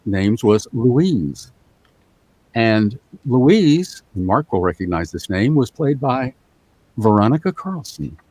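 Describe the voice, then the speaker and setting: A man's voice: medium pitch, darkish tone, low-quality recording. A man guesting on a podcast, telling a rambling story with many fillers. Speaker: guest voice actor.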